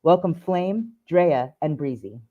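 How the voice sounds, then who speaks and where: A woman's voice: sits low, animated, slow pace. Phone-like recording of a woman introducing an event. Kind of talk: prepared introduction. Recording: thin phone-like narrow band.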